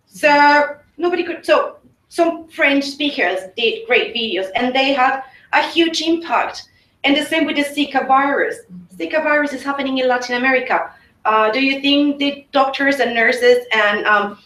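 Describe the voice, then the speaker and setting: A woman on a discussion panel, speaking in an animated way and asking rhetorical questions. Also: a little high. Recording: echoey room, distant mic.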